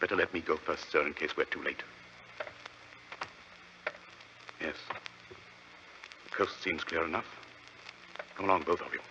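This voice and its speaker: An actor playing a brilliant, commanding, sardonic London detective with an English accent, in a crisp, theatrical 1940s radio drama style. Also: male voice, very deep voice, steady tone, moderate pace. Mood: hushed, controlled. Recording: dull, noisy vintage radio recording.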